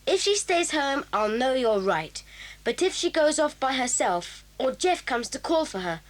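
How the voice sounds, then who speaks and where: A woman voicing an earnest, excited schoolboy in a radio play. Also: high voice, a little slow, low-quality recording. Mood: eager. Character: eager, determined schoolboy.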